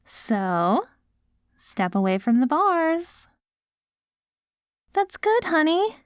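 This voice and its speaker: A woman's voice: high voice, big pitch swings, very slow, dry recording, clean studio sound, full character voice. A woman playing a doting, patient wife in an ASMR roleplay audio drama, coaxing sweetly like a caregiver. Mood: patient, encouraging. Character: doting wife caring for her ghoul husband after apocalypse.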